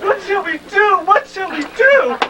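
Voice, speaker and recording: A man's voice: very high voice, lively intonation, measured pace. A man playing a spiteful, whiny little sister in a comic stage reading of a children's story. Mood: panicked, wailing. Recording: distant, very noisy live stage recording.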